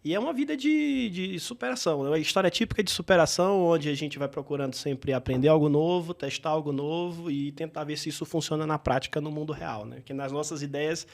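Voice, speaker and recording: A man, a guest entrepreneur telling his story thoughtfully in an interview, pausing and restarting as he thinks. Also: a little high, creaky. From Bahia, Brazil. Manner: reflective, with frequent hesitations and restarts.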